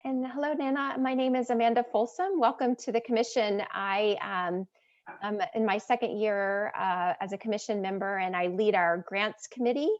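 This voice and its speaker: A woman commission member introducing herself warmly on a video call. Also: mid-range voice, fairly even intonation, normal speed. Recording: dull lo-fi call audio.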